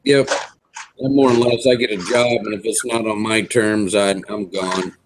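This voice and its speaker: Easygoing man in his fifties, low-pitched. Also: fairly even intonation, a little hiss or hum, low-quality recording. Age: 50s. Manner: easygoing, chatty, drawn-out fillers. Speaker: host. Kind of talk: casual video hangout chat.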